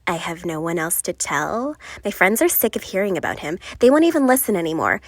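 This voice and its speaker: A woman playing a lovelorn, frustrated passenger venting confessionally in an animated series. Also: lowish voice, brisk, dry recording. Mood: wistful, frustrated.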